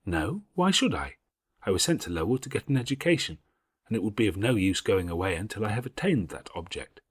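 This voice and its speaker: A male reader voices a bookish, devout older schoolgirl in an audiobook. The delivery is earnest and measured, gently moralising, with expressive rises and falls. The tone has a soft huskiness. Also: fairly low pitch, slightly rough, no echo, clean studio sound. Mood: calm, earnest. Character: patient, devout, bookish older schoolgirl, gravely self-critical. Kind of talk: audiobook character voice.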